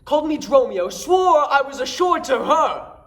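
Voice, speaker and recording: A man playing an exasperated, mocking Shakespearean manservant in an animated, declamatory comedy monologue. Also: very high-pitched, normal speed, voice pushed up. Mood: alarmed. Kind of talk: theatrical classical comedy monologue.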